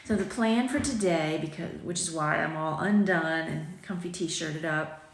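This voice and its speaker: A woman in her forties, a little raspy from just waking up, chatting casually and rambling to viewers over morning tea, filmed on a phone at arm's length in her home kitchen. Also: low-pitched, measured pace, a little hiss or hum. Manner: chatty, rambling, self-deprecating, with frequent ums. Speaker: boutique owner vlogging. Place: sunny home kitchen, indoors. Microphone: phone camera at arm's length. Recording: echoey kitchen room.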